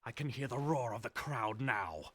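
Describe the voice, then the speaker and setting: A man's voice: mid-range voice, expressive, normal speed, a bit bright. An actor playing a sadistic, arrogant male racing rival in a video game, smug, taunting and theatrical. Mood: smug, gloating. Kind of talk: video game cutscene acting. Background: music.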